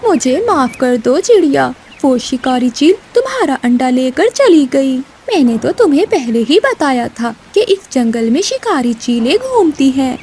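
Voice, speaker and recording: A woman's voice: high voice, sing-song, unhurried, hardly any pauses, darkish tone, a little hiss or hum. A woman voicing a bossy, self-serving female crow in a children's cartoon. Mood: apologetic. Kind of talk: Hindi children's cartoon voice acting.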